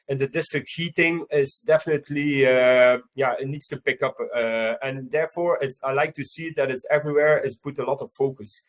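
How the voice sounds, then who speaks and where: Belgian man presenting in halting English. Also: on the high side. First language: not English. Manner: halting, many fillers and restarts. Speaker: conference presenter. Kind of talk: online conference presentation. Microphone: video call.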